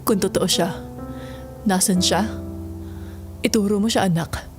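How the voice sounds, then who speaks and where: A woman's voice: mid-range voice, fairly even intonation, measured pace, natural voice. An actress playing a concerned but firm mother of a troubled child in an audio drama. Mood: firm, worried. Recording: music under the voice.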